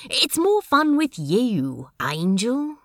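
A woman's voice: on the high side, sing-song, takes it slowly, no room sound, studio quality, heavily acted. A woman voicing a smitten, wry male comedian with a teasing drawl, in a fan fiction audio drama. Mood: fond. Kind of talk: fan fiction podfic character acting.

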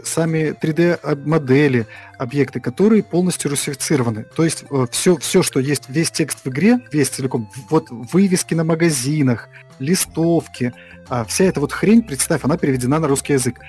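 A man co-hosting a casual podcast about games, talking in halting bursts full of fillers and restarts. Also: on the high side, a little mellow, husky. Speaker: podcast co-host.

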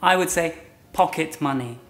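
A woman's voice: low voice, normal speed. A woman with a British accent, an English teacher casually explaining usage, recorded with an echoey room sound.

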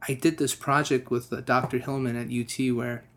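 Young guy in an interview, mid-pitched, thinks out loud, restarts a lot. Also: little pitch movement, fairly quick. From United States. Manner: thoughtful, with frequent restarts and fillers. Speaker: guest musician. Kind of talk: casual interview.

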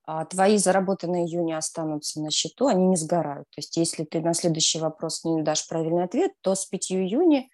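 A woman's voice: fairly low pitch, fairly quick, few pauses, a little mellow. A woman co-hosting a radio show who runs a quiz game in a teasing, upbeat way. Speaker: radio co-host, quiz presenter.

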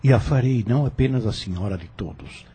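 An actor playing a scheming landowner who persistently courts a young widowed heiress in a radio drama. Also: male voice, medium pitch, animated, fast, mellow, slightly rough. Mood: persuasive.

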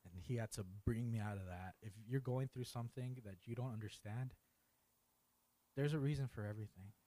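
A man's voice: medium pitch, brisk, warm tone. A man earnestly sharing his personal testimony as a guest on a church podcast. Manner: earnest, reflective. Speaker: interview guest.

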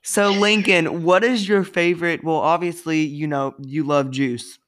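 A young podcast host works through a list of questions, hesitating often and filling the gaps with ums. The delivery is slow, and the voice sits a little back from the mic. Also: medium pitch, steady tone, a bit bright. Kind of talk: casual podcast interview.

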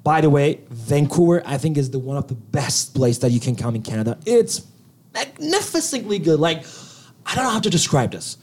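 A young man from Turkey with a Turkish accent, a guest in a casual interview, talking in an excitable, animated way with a little nervousness and frequent laughs. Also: fairly high pitch, normal speed, husky.

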